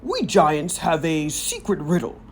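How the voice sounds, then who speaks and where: A woman voicing a huge, boastful male giant in a children's storybook, with a booming, taunting delivery. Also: wildly swooping pitch, a little slow, a little hiss or hum, heavily acted. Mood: gloating threat.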